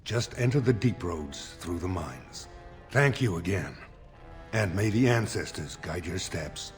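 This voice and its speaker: A man voicing a dignified dwarf lord seeking a throne in a video game, speaking in a measured, formal manner. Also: low voice, expressive, soft background music. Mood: courteous. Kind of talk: video game character dialogue.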